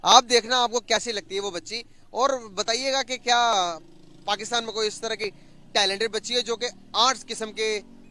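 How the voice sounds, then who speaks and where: A man interviewing people, asking animated, leading questions. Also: sits high, moderate pace. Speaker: street interviewer. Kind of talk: reaction interview.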